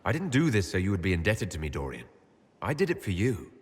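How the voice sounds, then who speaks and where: A male actor playing a calm, earnest, devoted leader in a video game, speaking in a direct, plain way. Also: low-pitched, fast talker, slightly rough, full character voice. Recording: long echoing hall reverb.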